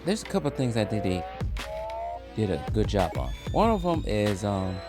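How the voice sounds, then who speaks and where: A man's voice: lowish voice, big pitch swings, normal speed. A man hosting a review video in a casual, loosely phrased, opinionated conversational style. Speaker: host of an animation history video series. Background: background music.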